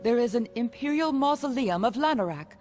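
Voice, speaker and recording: Woman playing high-voiced, solemn elven noblewoman. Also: expressive, brisk, a little mellow, faint music behind, natural voice. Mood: solemn, reverent. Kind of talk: video game cutscene acting.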